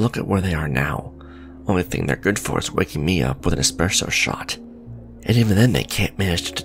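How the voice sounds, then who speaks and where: A man playing a smug, suave villain businessman in a romantic ASMR audio drama, sardonic and conversational, teasing, with a low gravelly voice. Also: a bit bright, exaggerated voice. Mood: exasperated. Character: smug male villain, suave luxury fashion businessman on a date.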